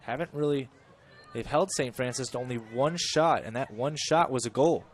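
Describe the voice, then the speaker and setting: A man giving chatty live play-by-play of a college soccer match, correcting himself and rising in pitch and volume when the play gets dangerous. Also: normal speed, slight background noise. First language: English. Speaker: play-by-play commentator.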